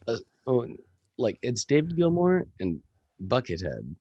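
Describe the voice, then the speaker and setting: A man with a gravelly voice, a musician chatting casually as a podcast guest, rambling with lots of fillers and swearing. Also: medium pitch, wildly swooping pitch, unhurried, mellow, no echo, dull audio.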